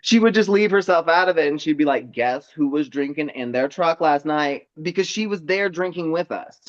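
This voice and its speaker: Thirties man, storyteller guest, higher voice, muffled lo-fi sound. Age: 30s. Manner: animated, laughs often, mimics voices in quotes. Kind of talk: casual storytelling chat.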